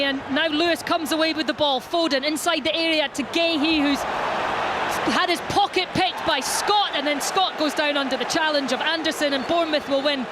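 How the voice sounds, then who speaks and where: Play-by-play man, high and urgent, heavy stadium noise under him. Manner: rapid, rising excitement on attacks. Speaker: play-by-play commentator. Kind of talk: live football commentary. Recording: loud stadium crowd and noise. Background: loud stadium crowd.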